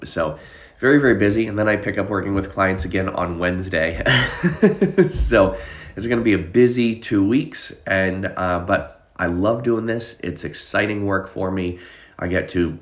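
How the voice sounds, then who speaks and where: A man with a very deep voice, stuffed-up and nasal from a lingering chest cough, talks to his live-stream viewers about anxiety as a therapist. He rambles loosely, with many ums and restarts. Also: normal speed. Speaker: anxiety relief therapist and hypnotist.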